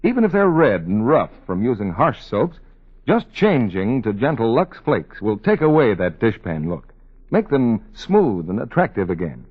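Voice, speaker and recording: Animated, husky 1940s male radio announcer. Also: medium pitch, normal speed. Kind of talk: 1940s scripted announcing and advertising. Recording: dull 1940s radio recording.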